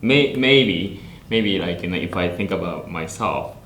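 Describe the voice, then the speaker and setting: A man's voice: deep voice, expressive, a little slow, a bit bright, slight background noise. A man with a Japanese accent, hosting a casual interview and speaking hesitantly with many fillers. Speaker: host. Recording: echoey room with guitar music.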